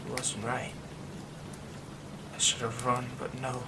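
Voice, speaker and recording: A man voicing a haunted young man hiding a werewolf curse, speaking in a hushed, halting way that often drops to a whisper. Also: medium pitch, monotone, heavy background noise. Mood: nervous, haunted. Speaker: ASMR roleplay performer. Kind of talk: ASMR horror roleplay.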